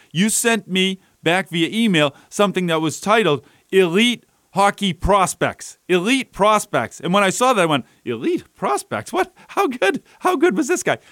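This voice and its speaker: A man's voice: high-pitched, a bit bright, no room sound, studio quality. A man hosting a local radio talk show, chatty and upbeat, rambling a little as he talks.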